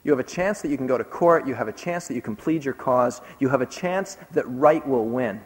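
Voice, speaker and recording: A man's voice: mid-range voice, expressive, fairly quick. A man recounting a trip abroad, telling the story in an earnest, emphatic way. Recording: long hall reverb.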